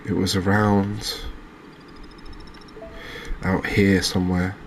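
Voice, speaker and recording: A man giving relaxed ASMR commentary over a fishing video game, chatting to viewers and often checking in with tag questions. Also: sits low, takes it slowly, a little hiss or hum. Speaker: gaming video host.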